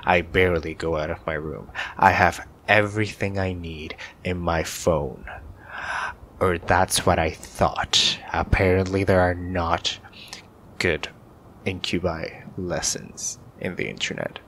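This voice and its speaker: A man's voice: low-pitched, takes it slowly, a little hiss or hum, heavily acted. A man playing a grumpy, shy young incubus student in an ASMR roleplay, grudging and hesitant, sometimes half-whispering. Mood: reluctant, grudging. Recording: steady rain ambience behind voice.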